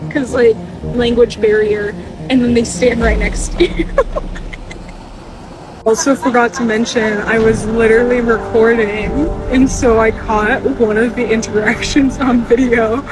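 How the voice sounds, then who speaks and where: A woman's voice: a little high, poor audio. Giggly woman vlogger, amused. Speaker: traveling vlogger. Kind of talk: casual storytelling to camera.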